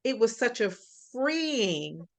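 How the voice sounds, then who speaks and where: Lively woman hosting a chat. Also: on the high side, slow. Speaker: host. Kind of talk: casual interview chat.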